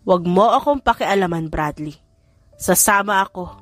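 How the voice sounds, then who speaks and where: A woman's voice: fairly low pitch, expressive, normal speed, a bit bright, faint music behind. A woman voicing an older, wealthy matriarch in an audiobook, a firm but fond mother with an authoritative, motherly manner. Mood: stern. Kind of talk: audiobook character dialogue.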